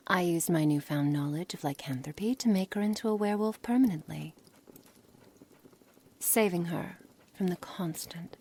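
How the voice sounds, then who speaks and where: A woman playing a coolly menacing, scholarly witch in an ASMR roleplay, speaking softly in formal, measured words with breathy, hushed passages. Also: on the low side, slight background noise. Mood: smug, matter-of-fact. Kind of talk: ASMR roleplay dramatic monologue.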